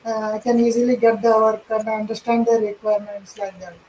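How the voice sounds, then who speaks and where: A man from India with an Indian English accent, a software developer taking a conversational English lesson over a video call, speaking hesitantly with many fillers and repeated yeses. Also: sits high, level tone, fairly quick, a little hiss or hum, rough recording. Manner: hesitant, repeats short agreements.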